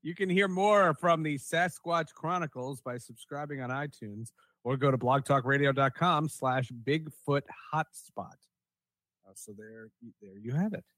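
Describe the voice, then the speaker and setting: A man's voice: medium pitch, measured pace, a bit bright, dry recording. A man hosting a podcast in a casual, chatty style. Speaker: podcast host.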